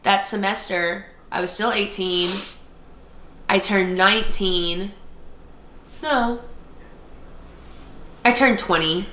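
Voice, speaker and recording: A woman's voice: mid-range voice, slow pace, long pauses, bright, forceful tone, a little hiss or hum. A woman podcast co-host telling personal stories in casual, informal chat, often acting out remarks she quotes. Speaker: podcast co-host, former art student. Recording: roomy, echoey sound.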